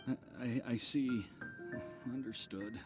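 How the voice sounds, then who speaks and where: A man's voice: mid-range voice, steady tone, slow pace, loud music behind the voice, natural voice. Man playing a hesitant, bashful young lover. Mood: bashful, grateful. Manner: hesitant, polite, stammering. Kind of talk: video game character acting.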